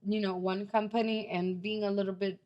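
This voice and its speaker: A woman from Puerto Rico with a Puerto Rican accent, talking as a guest in a casual interview, animated and straightforward, dropping in Spanish phrases. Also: medium pitch, fairly quick, muffled recording.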